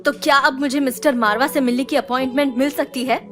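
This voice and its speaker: A self-assured young woman, played by an actress, composed and guarded, with a fairly high voice. Light music runs beneath the drama. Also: fairly even intonation, fairly quick, lightly acted. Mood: insistent. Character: confident, guarded young woman healer with secret purpose. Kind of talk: Hindi audio drama acting.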